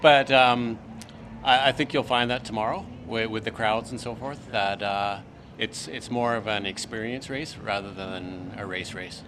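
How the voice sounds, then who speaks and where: A man's voice: fairly low pitch, measured pace, background noise. A man who runs marathons, guesting on a podcast outdoors and answering with dry, wry wit. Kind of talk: casual interview chat. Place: outdoors on campus steps.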